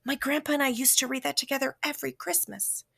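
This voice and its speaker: A woman voicing a wonder-struck young child in a storybook, excitable and sometimes hushed. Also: high-pitched, fast, gravelly, voice pushed up. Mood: hushed, tender. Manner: excitable, exclaiming, hushed at tender moments.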